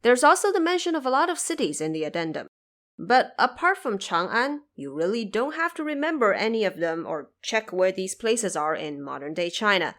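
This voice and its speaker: A woman hosting a podcast, reading scripted explanatory notes and addressing her listeners directly in a measured way. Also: medium pitch, sing-song, a bit bright. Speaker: podcast host.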